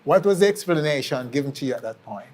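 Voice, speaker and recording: A man with a Jamaican accent presenting and interviewing on an entertainment show in a deliberate, clarifying manner. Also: medium pitch, animated, a little mellow.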